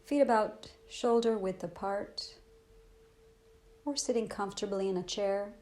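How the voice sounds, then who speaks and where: Gentle woman guiding a meditation. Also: mid-range voice. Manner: gentle, soothing, unhurried with long pauses. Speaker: meditation teacher. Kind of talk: guided body scan meditation.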